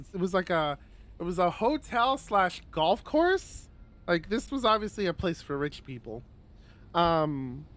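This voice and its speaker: A man reading a visual novel aloud on a stream, casual and expressive, with rambling conversational asides. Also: sits high.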